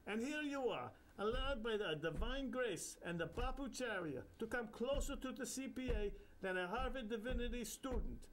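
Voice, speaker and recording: A man voicing a gleeful, giggling old male guru spirit with a highly nasal Indian accent and a bubbly, amused manner. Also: expressive, normal speed, few pauses, slight background noise, rough recording. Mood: lecturing amused.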